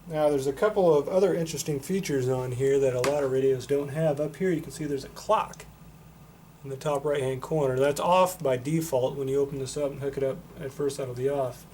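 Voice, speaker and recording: A man's voice: mid-range voice, fairly quick, darkish tone. A man giving a casual, unscripted product demonstration in a matter-of-fact, explanatory way. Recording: noticeable background noise.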